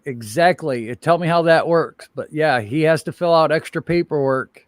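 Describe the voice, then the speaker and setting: A middle-aged man hosting a casual call-in chat, gruff and joking as he tells road stories. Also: a little high, normal speed, no room sound, clean studio sound. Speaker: show host, retired truck driver.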